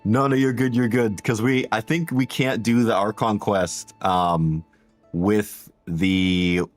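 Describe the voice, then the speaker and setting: Male game streamer, deep voice, laid-back rambling chat, faint game music behind. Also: fairly even intonation, slow. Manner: rambling, casual, reacting to chat with slang. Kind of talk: casual gameplay livestream chat.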